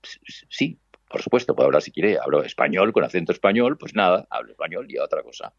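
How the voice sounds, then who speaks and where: An older man from Argentina, a radio announcer with a deep booming voice and an Argentine Spanish accent, telling stories from his life in a relaxed conversation. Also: fast, vocal fry, husky, dry recording, lo-fi sound. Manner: warm reminiscing, acts out quoted voices. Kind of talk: conversational storytelling.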